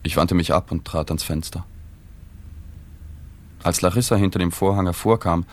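An actor playing a sober journalist who narrates a radio drama in a matter-of-fact, observant way. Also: male voice, sits low, moderate pace, natural voice. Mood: sombre, restrained. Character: sober male journalist narrating his reporting.

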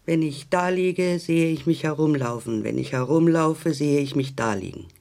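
An actor playing a pensive man who recalls someone distant, reflectively and with pauses, in a radio drama. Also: fairly high pitch, gravelly, darkish tone.

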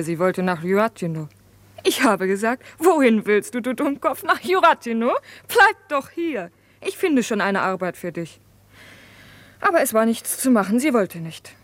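An actress playing a brisk, bossy but loyal young working woman, emphatic and blunt, in a 1950s German radio drama. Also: mid-range voice, expressive, no room sound, natural voice. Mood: animated, exasperated.

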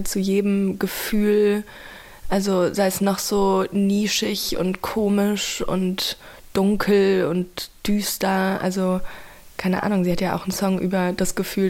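A woman's voice: mid-range voice, darkish tone. A woman of about twenty, talking casually and searchingly in an interview, with lots of fillers. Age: around 20. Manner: gushing, loose and searching, heavy with fillers. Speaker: interviewee, young fan.

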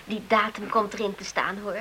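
An actress playing an obliging, affectionate young confidante in a radio drama. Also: female voice, mid-range voice, fairly even intonation, moderate pace, sharp tone, a little hiss or hum, close to an everyday voice, rough recording. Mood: helpful, tender.

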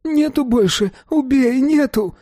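Shrill, whining, terrified drug dealer. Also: male voice, animated, a little slow, creaky, a little mellow, slightly rough, no echo, clean studio sound, full character voice. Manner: shrill, squealing, pleading.

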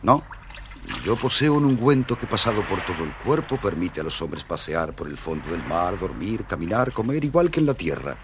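An actor playing a friendly, excitable merman in a children's audio storybook, theatrical, with an Argentine accent. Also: low voice, normal speed, noisy background. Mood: friendly, wistful. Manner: theatrical, expressive. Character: friendly merman, excitable and forgetful, later solemn.

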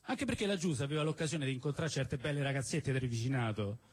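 A man giving an expressive, theatrical reading of literary prose as a storyteller, with emphatic phrasing and deliberate pauses. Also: mid-range voice. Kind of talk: expressive dramatic reading of a novel. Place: live stage at a celebration event in a cinema venue.

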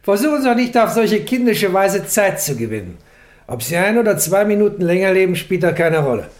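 A man playing a suspicious, impatient gentleman gangster in a radio crime play, speaking in a clipped, formal, threatening way. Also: sits high, very animated, brisk, echoey room. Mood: menacing, impatient. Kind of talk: old-fashioned German radio crime play acting.

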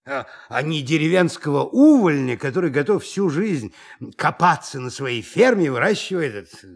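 A man's voice: fairly high pitch, big pitch swings, moderate pace. An actor playing a pompous, irritable middle-aged father and television commentator in a radio play, blustering and stammering. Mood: flustered, indignant.

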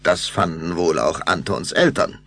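A man narrating a children's radio play in an expressive storytelling manner. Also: low-pitched, unhurried, vocal fry.

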